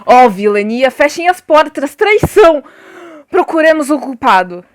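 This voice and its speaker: A woman's voice: high voice, sing-song, a little slow, dry recording, clean studio sound. A woman playing an anguished, vengeful young prince in declamatory radio drama style. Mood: alarmed outrage. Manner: declamatory, exclaiming. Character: anguished, furious young prince avenging betrayal, then dying. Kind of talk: melodramatic radio drama acting of a stage tragedy.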